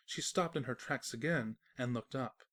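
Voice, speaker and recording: A woman narrator reading a scripted comedic story in an expressive, wry storyteller manner. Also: very deep voice, normal speed.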